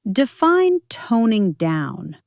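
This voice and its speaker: A woman as an unflappable, deadpan older mother. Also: on the high side, very slow, gravelly, no room sound, studio quality, voice pushed up, heavily acted. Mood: composed. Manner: deadpan, precise, matter-of-fact. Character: unflappable older mother, retired teacher and gardener.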